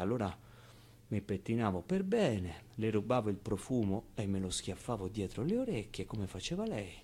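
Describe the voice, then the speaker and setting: An actor playing a wistful, streetwise man who recalls his orphaned boyhood in an expressive, colloquial theatrical monologue. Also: fairly low pitch. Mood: wry, confiding. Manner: expressive, clownish, with pauses and repeated phrases. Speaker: stage actor. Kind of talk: theatrical monologue, colloquial first-person storytelling.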